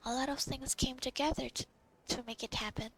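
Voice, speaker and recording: A woman's voice: high-pitched, steady tone, fairly quick, a little hiss or hum, poor audio. A woman giving casual, reactive game commentary, reading lines aloud and murmuring informal, half-whispered asides. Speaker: gamer recording a playthrough. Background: game music.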